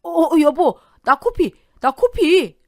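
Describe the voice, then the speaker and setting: A woman's voice: very high voice, very animated, slow, a little mellow, dry recording, studio quality, pitched above the performer's own voice, heavily acted. A woman voicing a pathetic, cheating older husband who whines and pleads in an audio drama. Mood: panicked whining. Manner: whiny, pleading.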